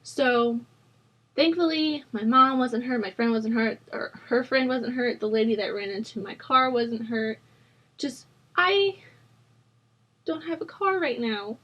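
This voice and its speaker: A young woman in her twenties talks in a husky, hoarse voice that sits a little high. She rambles in easy, chatty asides, full of fillers and little self-conscious laughs, like a relaxed voice-over at a home desk mic. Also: a little slow, muffled recording. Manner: rambling, chatty, many ums and likes, laughs at herself. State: hoarse from a sore throat. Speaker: Sims building channel host. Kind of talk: casual voice-over chat during a speed build.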